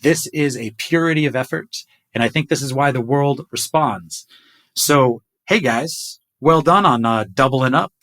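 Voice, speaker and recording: A man's voice: mid-range voice, measured pace, a bit bright. A middle-aged man hosting a podcast conversation over a video call, enthusiastic as he shares numbers with his team. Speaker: podcast host. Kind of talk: casual chat.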